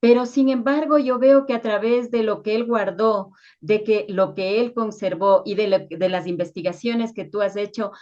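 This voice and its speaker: A woman's voice: mid-range voice, a little mellow, muffled recording. A woman interviewing in a conversational, affirming and discursive way.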